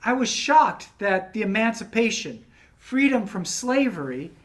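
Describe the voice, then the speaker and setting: A man playing a dignified elderly male scholar in a dramatic reading, with formal, deliberate delivery. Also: high voice, big pitch swings, fairly bright tone, full character voice. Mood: grave, earnest. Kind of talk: dramatic reading of historical monologue.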